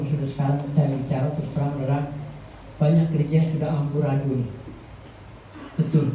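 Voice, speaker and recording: Man preaching, rambling and forceful, distant mic, heavy hall echo, tinny thin sound. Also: slight background noise. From Indonesia. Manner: rambling, addresses the congregation as "saudara"; grows louder and higher when preaching. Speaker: guest preacher. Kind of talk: Pentecostal sermon. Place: church hall. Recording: echoey church hall, tinny and far off.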